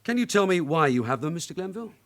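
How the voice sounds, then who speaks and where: Shrewd veteran London detective sergeant, radio drama; dry, brisk, unfussy, with animated swings of pitch. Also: male voice. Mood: firm, sardonic. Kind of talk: naturalistic British radio drama acting.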